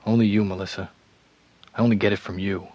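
Actor as low-voiced, evasive troubled husband. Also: male voice, steady tone, no room sound, muffled recording, natural voice. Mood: weary, wry. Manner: halting, stammering, wry. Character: troubled husband, evasive, self-deprecating, darkly joking hard drinker. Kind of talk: naturalistic radio drama acting.

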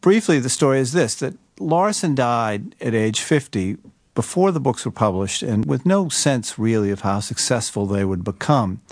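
A man explaining things in measured, careful phrases as an interview guest. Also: medium pitch, expressive, no room sound, clean studio sound. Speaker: newspaper writer, interview guest.

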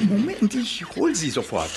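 A woman's voice: mid-range voice, wildly swooping pitch, moderate pace, noisy background. A woman voicing a cheeky, playful young creature in a children's audiobook, talking in a sing-song, giggly way. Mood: cheerful. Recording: children's audio drama with music behind.

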